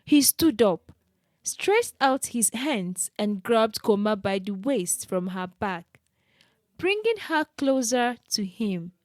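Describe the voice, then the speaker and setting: Female storyteller for folktales: highish voice, very animated intonation, measured delivery. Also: no echo. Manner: expressive, deliberate storytelling delivery. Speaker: storyteller, narrator. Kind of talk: scripted folktale narration.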